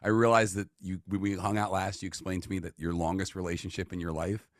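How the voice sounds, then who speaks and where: A man's voice: low-pitched, flat delivery, dry recording, clean studio sound. A man, a podcast guest who riffs in a wry, joking way. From New York. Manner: wry, joking, quick riffing. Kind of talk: casual podcast chat.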